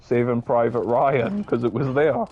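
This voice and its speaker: A man's voice: medium pitch, fairly even intonation, normal speed, breathy edge, lo-fi sound. A young man with a Scottish accent chatting casually and telling rambling, sweary stories while he plays a game. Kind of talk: casual chat and storytelling while gaming.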